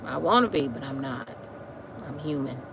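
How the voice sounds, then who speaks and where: Gravelly-voiced chatty woman in her forties. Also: a bass voice, animated, normal speed. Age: 40s. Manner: chatty, repeats phrases, addresses viewers as y'all. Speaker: livestream host. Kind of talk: casual livestream chat. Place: outdoors on a walk, wind noise. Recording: faint wind noise.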